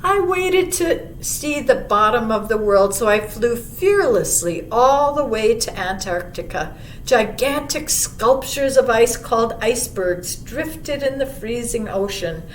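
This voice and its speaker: A woman voicing a gentle, wise old male monarch butterfly in a storybook, telling a child of travels with unhurried wonder. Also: animated. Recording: roomy, distant mic, faint rumbling noise.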